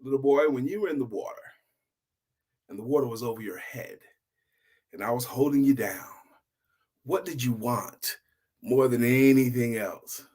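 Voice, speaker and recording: A man's voice: medium pitch, a little mellow, breathy edge, close to an everyday voice. Man playing a grave old village sage. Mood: probing. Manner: measured, grave, drawn-out phrases. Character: stern, cryptic old village wise man.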